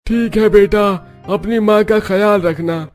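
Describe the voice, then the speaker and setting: A man's voice: sits high, steady tone, measured pace, darkish tone, soft background music. A man voicing a concerned middle-aged father in a cartoon moral story. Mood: worried.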